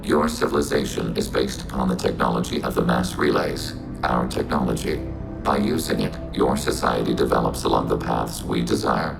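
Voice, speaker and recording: A deep gravelly machine soldier in a game, talks flat and exact, music behind. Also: male voice, moderate pace, exaggerated voice, rough recording. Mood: explaining. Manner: flat precise formal speech. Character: synthetic machine soldier, male-voiced, calm and logical. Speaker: squadmate. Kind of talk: video game voice acting.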